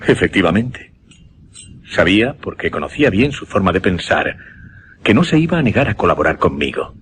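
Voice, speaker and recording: Gentleman mesmerist, low voice, animated delivery, formal and deliberate, for radio suspense. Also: a little hiss or hum. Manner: formal, deliberate, measured with dramatic pauses. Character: earnest gentleman mesmerist recounting his uncanny experiment. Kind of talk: Spanish suspense radio drama acting.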